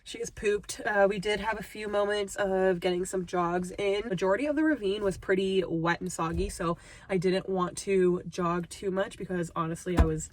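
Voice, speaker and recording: A woman's voice: moderate pace, slight background noise. Chatty young woman with a stuffed-up nose. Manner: chatty, self-deprecating, talks in a high sweet voice to her dog. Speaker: vlogger. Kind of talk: casual vlog chat. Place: parked car, then walking outdoors on a ravine trail.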